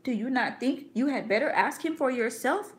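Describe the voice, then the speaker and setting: A woman reading aloud and voicing a devout mother who speaks softly and earnestly to her little son. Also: high-pitched, animated, moderate pace, voice pushed up. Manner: soft, earnest, coaxing.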